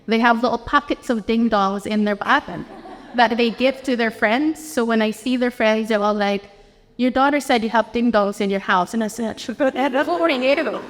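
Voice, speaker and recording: A woman's voice: on the high side, normal speed, some chatter behind, a little hiss or hum. Chatty American-accented woman in a hall. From Philippines. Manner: chatty, anecdotal, quoting others. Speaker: panelist. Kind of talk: panel discussion answer. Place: conference hall. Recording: long hall reverb.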